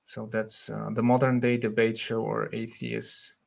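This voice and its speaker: A young Slovak man with a Slovak accent, hosting a casual livestream, reading comments and thinking aloud in a relaxed way with frequent laughs. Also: lowish voice, a little slow, vocal fry. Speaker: livestream host.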